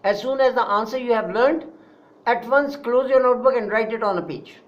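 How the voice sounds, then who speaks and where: A man's voice: very high-pitched, fairly quick. A man with a Pakistani accent leads an online English lesson in an earnest, teacherly way, repeating his points and addressing his students directly. The recording sounds dull and lo-fi.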